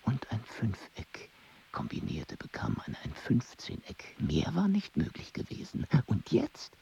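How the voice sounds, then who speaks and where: An actor playing an obsessive mathematician in a radio play who whispers and murmurs to himself in wonder. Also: male voice, mid-range voice, wildly swooping pitch, measured pace, vocal fry, warm tone, highs cut off, a little hiss or hum, full character voice. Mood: awed. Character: obsessive, excitable male mathematician. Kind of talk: radio drama acting.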